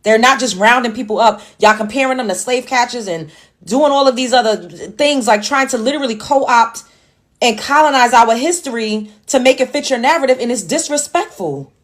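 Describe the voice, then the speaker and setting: A woman in a played clip delivering a blunt, impassioned monologue full of rhetorical questions. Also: a little high.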